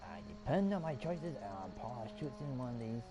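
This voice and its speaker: A man's voice: mid-range voice, sing-song, fairly quick, noisy background. A man casually commenting while playing a game and rambling to himself. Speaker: gamer. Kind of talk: casual let's-play commentary. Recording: clear game music behind voice.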